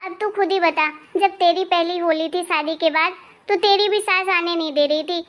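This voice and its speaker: A woman voicing a kindly older mother in an animated family story, patient and soothing as she explains things. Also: very high-pitched, moderate pace. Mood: patient explaining.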